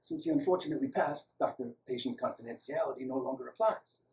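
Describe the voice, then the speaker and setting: A man voicing a gentle, courteous older male doctor with an Eastern European accent in a story, speaking hesitantly. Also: mid-range voice, animated, fast, lightly acted. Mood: reassuring. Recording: roomy, echoing, distant microphone.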